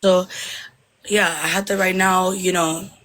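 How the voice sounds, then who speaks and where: A young woman from Somalia speaks in a bright, tense voice. She hesitates often, trailing off and restarting, and swaps between Somali and English mid-sentence in a casual conversation. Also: lowish voice, level tone, unhurried, low-quality recording. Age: 20s. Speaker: interviewee.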